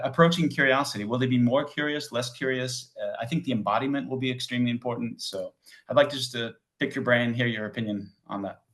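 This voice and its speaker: An American man in his forties or fifties puts a question from the audience. His voice sits at a middle pitch for a man, and he wanders through the question with many ums and uhs. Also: a bit bright. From United States. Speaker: audience member asking a question. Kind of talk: question from the floor.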